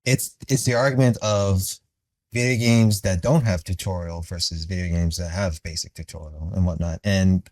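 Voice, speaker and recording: A man with a low voice talks through his opinions in a casual commentary. His delivery rambles and is peppered with profanity, and the recording is close and dry. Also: steady tone, normal speed, a little mellow, no echo. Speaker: commentary video creator.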